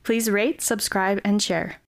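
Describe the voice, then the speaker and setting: A woman's voice: mid-range voice, fairly even intonation, a little slow, gravelly. A woman announcer reading scripted show credits. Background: music.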